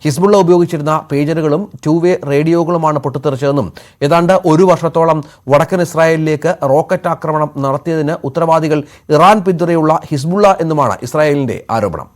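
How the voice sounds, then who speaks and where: A man with a Kerala accent reading scripted news as a studio anchor. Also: fairly high pitch.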